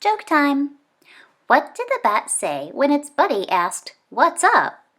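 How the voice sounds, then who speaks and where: Woman, children's book read-aloud, slow and deliberate, lively intonation, clearly phrased. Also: sits high. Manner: expressive, clearly phrased delivery for young children.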